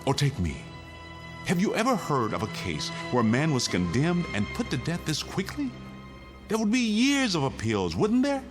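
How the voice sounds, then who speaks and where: A man's voice: fairly high pitch, huge pitch swings, normal speed, over music. An actor playing a weary, insistent condemned man pleading his case in a radio drama. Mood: agitated, arguing. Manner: insistent, pleading, arguing in rhetorical questions. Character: condemned male prisoner insisting the world is his nightmare, weary, urgent.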